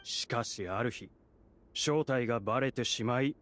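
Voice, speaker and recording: A man's voice: medium pitch, unhurried, a bit bright, soft background music, close to an everyday voice. A man playing a polite, earnest young theater troupe member in a Japanese anime game, speaking courteously. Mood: solemn storytelling. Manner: courteous, earnest, clearly phrased.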